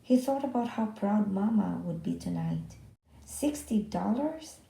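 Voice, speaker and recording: A woman's voice: medium pitch, moderate pace, creaky, mellow. A woman narrating a children's story as a read-aloud, recorded in a roomy indoor space with echo. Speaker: story reader.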